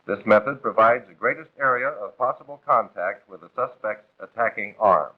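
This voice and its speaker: A man's voice: fairly low pitch, normal speed. An American man narrating a 1940s instructional film from a script in a formal, authoritative, instructive manner. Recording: muffled, phone-like vintage film soundtrack.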